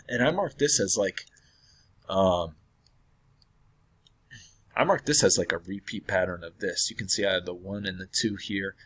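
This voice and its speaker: A man walking through a trading chart in a casual, hesitant way, hoarse and losing his voice from illness, often clearing his throat and correcting himself. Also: low-pitched, measured pace, pauses often, fairly bright tone. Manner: thinking aloud, hesitant with many fillers and self-corrections. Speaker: trading analyst.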